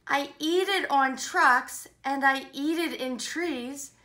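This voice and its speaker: A woman voicing an excitable, greedy, stubborn girl monkey in a children's storybook, with gushing, emphatic, singsong delivery. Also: high voice, slow pace, voice pushed up, exaggerated voice. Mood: gleeful. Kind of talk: children's storybook read-aloud.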